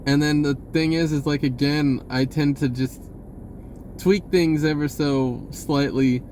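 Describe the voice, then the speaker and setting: Higher-voiced American guy, rambling and slangy. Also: fairly even intonation, measured pace, darkish tone, a little hiss or hum. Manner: rambling, slangy, self-interrupting. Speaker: vlogger sharing spiritual self-help advice. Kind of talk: casual unscripted personal storytelling.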